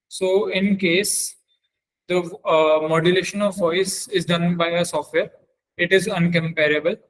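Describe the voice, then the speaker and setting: A man teaching in a webinar, demonstrating software step by step and often halting to search for words. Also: a little high, low-quality recording. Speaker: webinar trainer.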